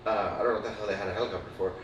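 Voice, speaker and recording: A man's voice: fairly low pitch, steady tone, rapid-fire, muffled recording, crowd noise. A man telling long rambling stories in casual chat, full of fillers and swearing. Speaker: podcast co-host. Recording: roomy, distant mic, faint room noise.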